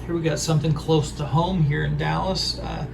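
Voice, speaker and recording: A hoarse man with a raspy voice talks in a halting, hesitant way while showing off records he has collected. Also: fairly high pitch, fairly even intonation, music in the background. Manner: halting, hesitant, full of pauses. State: hoarse from a throat injury. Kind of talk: casual record haul show-and-tell.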